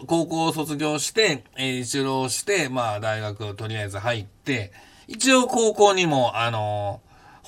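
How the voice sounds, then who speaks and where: Brief: man, 50s, podcast host, lively and blunt in casual memoir talk, mid-range voice. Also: medium pitch. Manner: animated, blunt storytelling with rough casual speech. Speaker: podcast host recounting his past.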